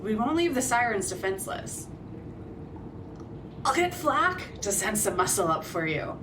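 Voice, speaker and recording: A woman's voice: mid-range voice, sing-song, a little slow, recorded from a distance, background noise. A woman playing a blunt, caring ally in a tabletop roleplay, speaking expressively.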